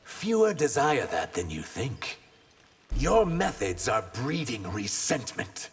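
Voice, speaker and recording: Principled, reproving emissary for a fighting game, deliberate pace, highly varied pitch. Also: male voice, very animated, breathy edge, exaggerated voice. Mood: stern. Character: stern, principled male emissary warrior. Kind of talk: video game fight banter.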